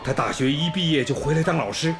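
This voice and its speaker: A man's voice: fairly high pitch, fast, natural voice. A man acting as a kindly, courteous village primary school principal in a Chinese radio drama. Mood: earnest, gentle. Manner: courteous, welcoming. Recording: music bed behind the voice.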